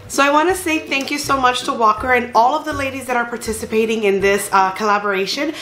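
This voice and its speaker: A woman in her thirties chatting casually about nail polish, gushing and excited, with many fillers. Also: on the high side. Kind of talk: casual vlog chat. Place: bedroom at home. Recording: echoey room with faint music.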